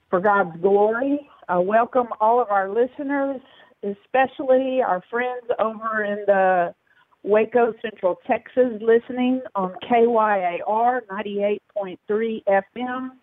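Woman, radio host, gravelly voice, chatty with fillers, tinny phone line, dry sound. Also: medium pitch, dry recording.

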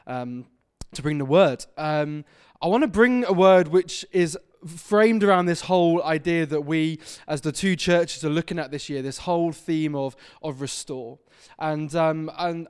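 A man in his thirties from Devon gives a friendly, enthusiastic sermon to a church, often joking at his own expense. His voice sounds smooth and resonant and sits a little high for a man. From England (Devon). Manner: chatty, self-deprecating, enthusiastic. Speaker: visiting preacher. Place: church hall.

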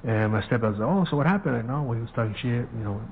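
A man's voice: medium pitch, lively intonation, racing, slight background noise. A middle-aged man telling stories in a casual monologue, full of profane street slang, acting out the voices of people he quotes. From Southern California. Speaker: podcast host. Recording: phone-quality audio.

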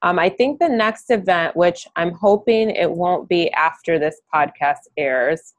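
A woman hosting a podcast in a soft-spoken, reflective way, often pausing with "um". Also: on the low side, animated. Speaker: podcast host. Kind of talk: casual interview chat.

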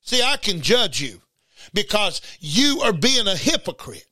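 A man's voice: sits high, sing-song, unhurried, bright, forceful tone, no echo. An angry man preaching in a confrontational, scolding way, firing off rhetorical questions and repeating his refrains. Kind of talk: fiery informal sermon.

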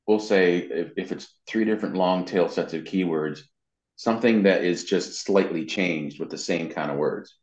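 Deep voiced guy explaining stuff casually, kinda halting, repeats himself, dull echoey sound. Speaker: interview guest, web marketing consultant. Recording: roomy echo, dull muffled sound.

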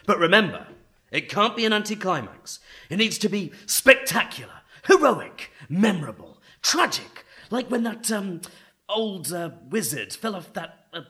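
A man's voice: sits high, sing-song, unhurried, bright, forceful tone, exaggerated voice. A man playing a pushy, sales-hungry young literary agent in a radio play, gushing and stammering insistently. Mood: pushy enthusiasm.

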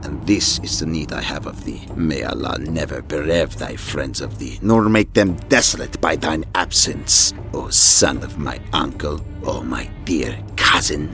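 A man's voice: rumbling low, animated, measured pace, gravelly, few pauses, pushed and bright, heavily acted. A man playing a solemn, commanding young prince in an archaic audio drama, with grave, formal, declamatory delivery. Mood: solemn, fond. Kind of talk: audio drama acting of an archaic storybook tale. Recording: music behind the voice. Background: music.

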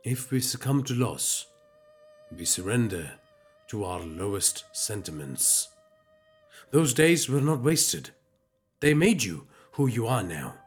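A man performs a wise alien elder for a science fiction audio drama. His low voice rises and falls expressively while staying calm, formal and reflective. Music plays underneath. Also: husky, heavily acted. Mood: solemn, gentle. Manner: measured, formal, philosophical.

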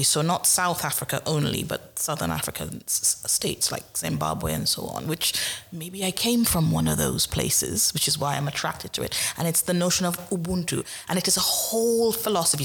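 Woman, very low voice, panel guest, thoughtful, checks in with "right?". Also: gravelly. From Trinidad. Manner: reflective, tags statements with "right?". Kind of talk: panel discussion.

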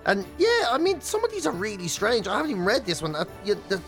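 A man's voice: high voice, wildly swooping pitch, fast talker, gravelly, a bit bright, faint music behind. A man with an Irish accent co-hosting a radio show, chatting casually with a dry, rambling, teasing sense of comedy. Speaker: radio breakfast show co-host. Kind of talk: casual comic banter. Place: radio studio.

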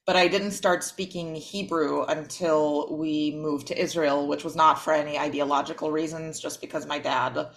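A young woman, a writer and critic, talking in a relaxed interview and pausing often with ums to find her words. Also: sits low. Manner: thoughtful, many ums and restarts. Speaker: podcast guest, author and critic. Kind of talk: casual interview conversation.

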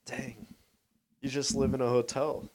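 A man's voice: mid-range voice, flat delivery, a little slow, noisy background. A man hosting a podcast, asking questions with short, easygoing reactions. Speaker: podcast host. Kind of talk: casual interview chat.

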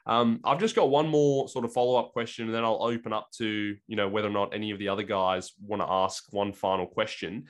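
A man hosting a podcast, asking relaxed, hedging questions. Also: fairly low pitch, a little fast, few pauses. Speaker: podcast host. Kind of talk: casual interview questions.

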